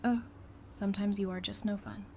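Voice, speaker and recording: A woman's voice: medium pitch, fairly even intonation, normal speed, natural voice. A woman acting in an audio drama as a teasing, affectionate woman in her early thirties, speaking casually. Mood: playful teasing.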